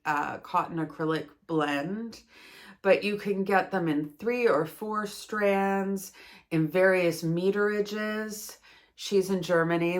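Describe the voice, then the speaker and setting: Canadian woman, host of a craft review video, low-pitched and unhurried, rambling and self-deprecating. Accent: Canadian English. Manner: chatty and rambling, self-deprecating, laughs at herself. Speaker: yarn reviewer, channel host. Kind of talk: casual chat, product review.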